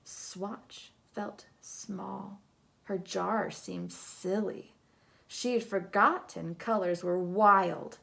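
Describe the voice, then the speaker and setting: A woman narrates a storybook for children with a bright, clean voice. Her delivery is slow and theatrical, with hushed phrases and big dramatic swells. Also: medium pitch, fairly even intonation, creaky. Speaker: storybook reader. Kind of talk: expressive read-aloud narration for children.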